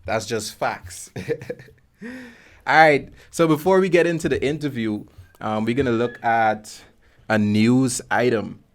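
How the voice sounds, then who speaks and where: A man's voice: mid-range voice, a little slow. A man from Guyana, hosting a podcast in a casual, laid-back conversational style full of informal slang. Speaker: podcast host. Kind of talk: casual conversational interview chat.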